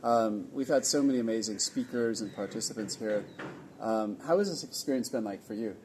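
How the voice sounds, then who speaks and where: A man from California hosting a casual interview at an event venue, easygoing and rambling with pauses. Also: fairly low pitch, a little mellow, slight background noise. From California, USA. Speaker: host of a nomad community event.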